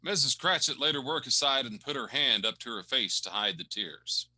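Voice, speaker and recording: An actor narrating a Victorian ghost tale as a solemn storyteller in a radio play. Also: male voice, medium pitch, animated, moderate pace, sharp tone, breathy edge, exaggerated voice.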